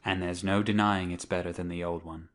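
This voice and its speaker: Man acting a very deep-voiced, cautious country doctor. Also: little pitch movement, brisk, fairly bright tone. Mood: thoughtful. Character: nervous, cautious middle-aged male country doctor. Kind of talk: audiobook dramatic reading.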